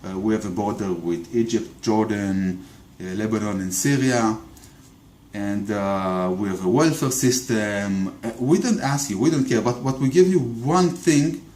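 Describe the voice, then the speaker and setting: A man's voice: lowish voice, measured pace, darkish tone. A man with an Israeli accent, talking through a political argument off the cuff, often stumbling over repeated words and fillers. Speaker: video commentator. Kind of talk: unscripted political monologue. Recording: echoey room.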